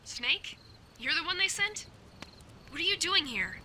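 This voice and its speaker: A high, very sharp-edged young woman's voice as a soldier in a radio drama. She is headstrong, sassy and sarcastic, always ready to argue. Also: sing-song, measured pace, slight background noise, full character voice. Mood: irritated, sarcastic. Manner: sassy, combative. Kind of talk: audio drama acting.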